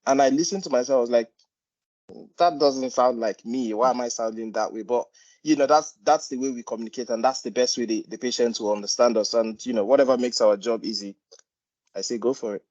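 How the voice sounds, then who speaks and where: A man's voice: mid-range voice, fairly quick, a little mellow. A man speaking on a video call as a meeting panelist, sharing personal stories with lots of fillers. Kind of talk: online meeting chat. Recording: dull lo-fi call audio.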